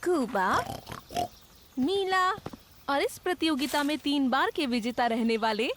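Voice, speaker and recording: A woman voicing an animal race announcer in a children's cartoon, deliberate and proclaiming. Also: sits high, lively intonation, very noisy. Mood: announcing. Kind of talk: slow, declarative announcing.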